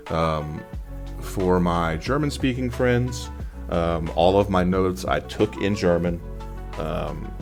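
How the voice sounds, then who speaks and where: Low-voiced man giving informal tips. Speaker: presenter sharing exam presentation tips. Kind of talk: informal tutorial narration over slides.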